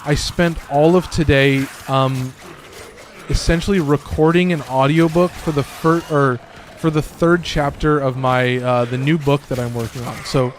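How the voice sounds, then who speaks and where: A man with a slightly hoarse, raspy voice, giving loose and profane casual commentary while streaming a game. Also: medium pitch, steady tone, measured pace, a little hiss or hum. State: a little hoarse. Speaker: gaming streamer.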